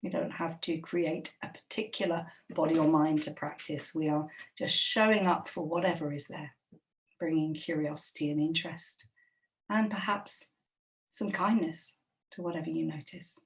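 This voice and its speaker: Woman doing a guided meditation, gentle n slow, crackly vocal fry, bit far from the mic. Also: low-pitched. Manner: slow, gentle, unhurried with long pauses. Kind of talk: guided body scan meditation.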